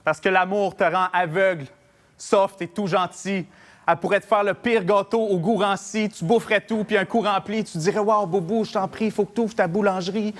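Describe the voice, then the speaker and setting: A man's voice: high-pitched, steady tone, normal speed, slight background noise. A man with a Québécois French accent, a battle rapper delivering mocking a cappella punchlines. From Quebec. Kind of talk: a cappella rap battle verses. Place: live battle venue with audience.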